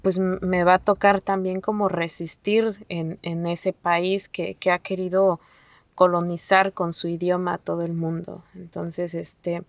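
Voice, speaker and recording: A woman from Mexico whose first language is Nahuatl, speaking haltingly with many fillers and restarts. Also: fairly low pitch, little pitch movement, no echo. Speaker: asylum seeker. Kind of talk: testimony to an interviewer.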